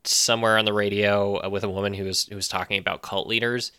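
A man's voice: lowish voice, flat delivery, fast, pushed and bright, clean tone, no room sound, clean studio sound. A man speaking as a podcast guest in casual chat, thoughtful, often restarting his phrases. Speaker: podcast guest, audio fiction creator.

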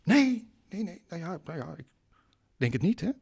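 An eccentric, good-natured uncle in a naturalistic radio drama. His voice sits a little high for a man, and he rambles along hesitantly, circling back on himself with sheepish honesty. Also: very animated, normal speed, creaky, a little mellow, slightly rough, full character voice. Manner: hesitant, rambling, chuckling self-deprecation.

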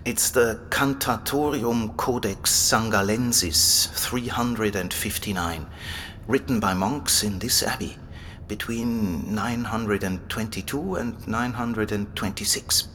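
Low-voiced, erudite abbey guide. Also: male voice, takes it slowly, fairly bright tone, a little hiss or hum. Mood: keen explaining. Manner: scholarly, eager to explain. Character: Elias, erudite, enthusiastic male abbey librarian and guide. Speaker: library guide and manuscript expert. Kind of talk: audio drama dialogue, explanatory.

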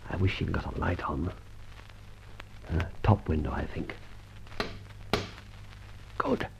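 A man playing a suave, menacing gentleman adventurer in an old radio serial, mock-polite and commanding, with clipped questions. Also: extremely low pitch, takes it slowly, creaky, a little hiss or hum, exaggerated voice. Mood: urgent. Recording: dull, lo-fi old radio recording.

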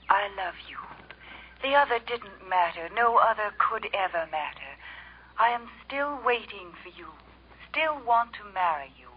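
Radio-play sweetheart, played by an actress: regretful, tender, mid-pitched, muffled vintage recording with faint noise. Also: female voice, natural voice. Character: tender, remorseful woman reading her love letter. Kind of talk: 1950s radio drama acting.

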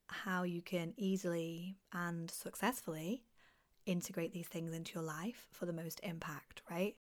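A woman hosting a motivational self-help podcast, her voice husky and half gone as she loses it, talking in an encouraging way. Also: fairly low pitch. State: losing her voice. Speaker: podcast host.

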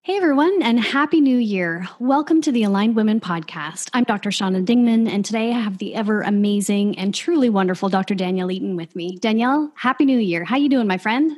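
A woman in her thirties co-hosting a podcast, chatting in a warm, reflective and earnest way. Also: mid-range voice, brisk, hardly any pauses. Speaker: podcast co-host. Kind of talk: casual podcast chat.

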